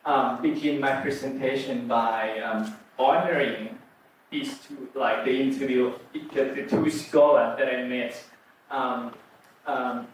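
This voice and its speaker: A young man with a Thai accent presents his research at an unhurried pace. He hesitates often and fills gaps with ums. His voice carries a heavy echo and sounds distant from the mic, with faint noise underneath. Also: medium pitch. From Thailand. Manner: hesitant, many ums and restarts. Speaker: doctoral student presenter. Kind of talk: academic presentation. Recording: roomy echo from far off.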